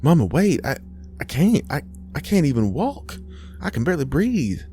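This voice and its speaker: A man's voice: medium pitch, unhurried, a little mellow, voice pushed up, lightly acted. A man voicing a frail, stubborn dying Southern woman in a horror story, with strained, plain-spoken delivery. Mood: pleading. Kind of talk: acted storybook dialogue. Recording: faint music underneath.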